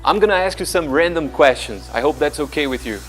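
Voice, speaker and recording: A man's voice: on the high side, animated, a little fast, a bit bright, soft background music. A man from Brazil, hosting in a friendly, chatty way and asking interview questions. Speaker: host.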